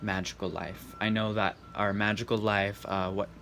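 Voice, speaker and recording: A man giving a casual tarot reading in a calm, serene, monotone way. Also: fairly low pitch, normal speed. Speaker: tarot reader. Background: faint background noise.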